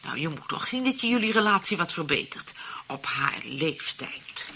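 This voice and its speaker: An actress playing a shrewd, impatient wife in a radio play, arch and prodding, with a Dutch accent from the Netherlands. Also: female voice, fairly low pitch, big pitch swings, normal speed, pushed and bright, breathy edge, exaggerated voice. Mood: scheming.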